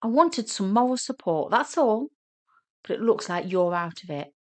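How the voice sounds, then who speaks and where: A woman's voice: medium pitch, lively intonation, moderate pace, pitched above the performer's own voice, close to an everyday voice. A woman voicing a shy, awkward man in his forties in a comic audio story, nervous, indignant and easily flustered. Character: shy, awkward, easily flustered forty-something older brother.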